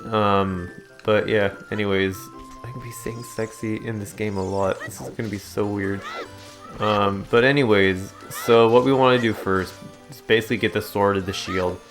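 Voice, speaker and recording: A young man giving casual, rambling commentary while playing a game, in a fairly monotone way. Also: fairly low pitch, moderate pace, soft background music. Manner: rambling, self-described monotone. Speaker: let's play host.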